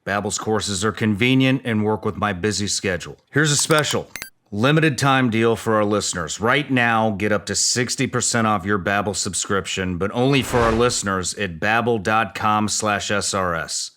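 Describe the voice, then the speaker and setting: A man, the show's host, reading sponsor ads in a brisk promotional way. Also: lowish voice, pushed and bright, slight background noise. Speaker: podcast host. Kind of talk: read ad spot.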